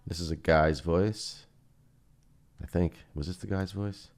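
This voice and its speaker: Low-voiced male, loose and rambling, thinking out loud through a software walkthrough. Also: fairly even intonation, unhurried. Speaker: plugin reviewer and host. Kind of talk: casual software demo commentary.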